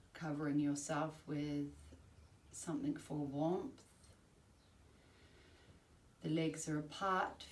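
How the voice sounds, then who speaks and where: Low-voiced woman guiding a slow relaxation meditation. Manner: calm, soothing, measured instruction with long pauses. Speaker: yoga nidra teacher. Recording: roomy, distant mic, faint background noise.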